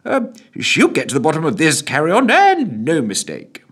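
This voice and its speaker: A man's voice: on the high side, wildly swooping pitch, moderate pace, fairly bright tone, breathy edge, voice pushed up, full character voice. A man voicing a nervous, fussy male defence secretary who stammers. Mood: anxious. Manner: hesitant, stammering.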